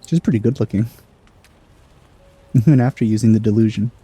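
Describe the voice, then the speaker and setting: A man's voice: lowish voice, moderate pace, mellow, breathy edge, no room sound, dull audio. A man giving casual, wry commentary while playing a video game. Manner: offhand, wry. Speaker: let's play host.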